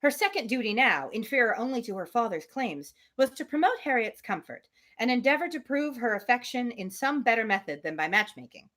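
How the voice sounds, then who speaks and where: Woman, animated intonation, live book reader who drifts between narration and informal, self-interrupting chat. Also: a little high, fairly quick. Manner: chatty, self-interrupting asides to listeners. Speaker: livestream host reading a novel aloud. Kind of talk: live read-aloud of a classic novel with casual asides.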